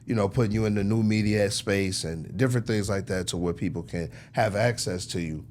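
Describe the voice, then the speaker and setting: Deep-voiced man, casual. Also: brisk, darkish tone, a little hiss or hum. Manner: slangy, peppered with "you know" and "man". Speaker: music industry executive, interview guest. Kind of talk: casual conversational interview.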